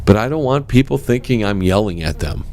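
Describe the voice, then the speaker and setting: A man hosting his own talk show, with a strong voice, delivering a forceful, animated opinion monologue straight to his listeners. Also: brisk, slight background noise. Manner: animated and forceful, swings up in pitch; addresses listeners as ladies and gentlemen.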